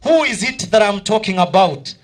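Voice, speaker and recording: A man's voice: sits high, animated, moderate pace. A man with a Kenyan accent announcing as master of ceremonies at a live awards event, exhorting and repeating himself. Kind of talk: live event announcing, switching between reading and hyping up. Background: music.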